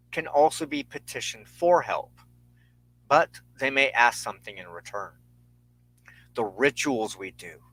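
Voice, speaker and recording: Male spiritual teacher, mid-range voice, sermon-style lecture delivery, solemn and measured with rhetorical phrasing. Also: medium pitch, sharp tone, poor audio. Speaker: spiritual teacher and show host.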